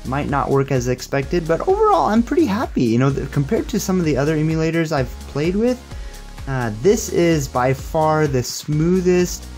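A man's voice: on the high side, sing-song, normal speed, darkish tone, soft background music. A young man giving a casual, upbeat hands-on tech demo and tutorial in a conversational, explanatory way. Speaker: tech reviewer and presenter.

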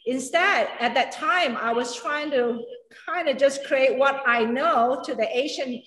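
A woman's voice: high-pitched, measured pace, fairly bright tone. A middle-aged woman from Singapore and Malaysia, a chef and culinary educator, telling a story during a cooking demo in a large kitchen. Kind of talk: cooking demonstration. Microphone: camera at a distance. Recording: echoey, reverberant kitchen.

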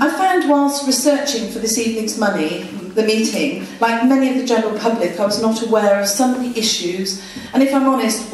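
A woman stands at a meeting and reads her prepared opening statement from notes, stumbling now and then. Her voice sits a bit high. The sound is distant and heavily reverberant, with faint noise behind it. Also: darkish tone. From England. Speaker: parliamentary candidate. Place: meeting room with audience. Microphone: far room camera. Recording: echoey room from far off.

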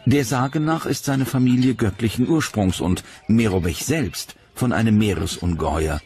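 A man reading scripted documentary narration in a measured, dramatic storytelling manner. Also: on the low side, expressive, darkish tone. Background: music under the narration.

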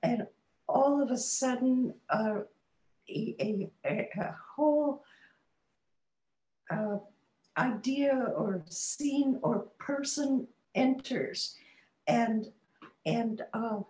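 Older woman author, slow and halting, many restarts; echoey home video-call sound. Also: a little high, darkish tone. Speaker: author and guest. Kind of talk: conversational interview answers. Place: home study with bookshelves, indoors.

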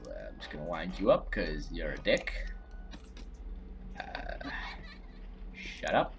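A man's voice: low-pitched, very animated, slow, a little hiss or hum. A young man in casual let's play chatter, jumpy and cheeky as he grumbles at the game. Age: young adult. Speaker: guest gamer.

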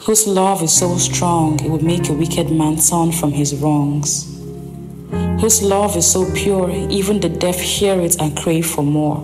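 Reflective woman narrator, acted, lowish voice, slow measured delivery, earnest, rhythmic spoken word, loud music behind. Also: fairly even intonation, lightly acted. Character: reflective woman narrator testifying to divine love.

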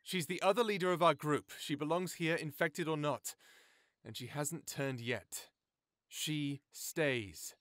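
A man's voice: a little high, unhurried, a bit bright, no room sound, studio quality. An actor playing a protective male settlement co-leader in a full-cast audiobook, speaking steadily and resolutely.

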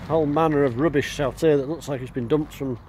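A man giving casual walkaround commentary as a vlogger, halting and thinking aloud as he goes. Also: on the high side, fairly even intonation. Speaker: vlogger exploring an abandoned building. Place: outdoors, walking around a building. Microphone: handheld camera mic. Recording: outdoor ambient noise.

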